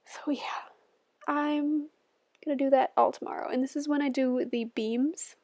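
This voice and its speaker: A woman's voice: high-pitched, steady tone, unhurried, a little mellow, no room sound. A young woman in her twenties, a bit stuffy-nosed, chatting casually and giggling as she talks over her building video at home. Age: 20s. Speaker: YouTuber.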